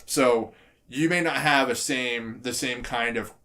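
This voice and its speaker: A man's voice: mid-range voice, a little slow, bright, forceful tone. A man hosting a casual podcast chat, steering the discussion and trading teasing banter. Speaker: podcast host.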